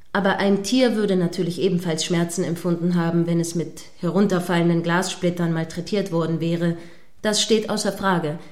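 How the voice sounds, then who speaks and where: A woman playing an earnest, primly correct radio news presenter in a radio play, speaking in formal, measured, wordy sentences. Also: lowish voice, a little mellow, lightly acted. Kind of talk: satirical radio play as live news broadcast.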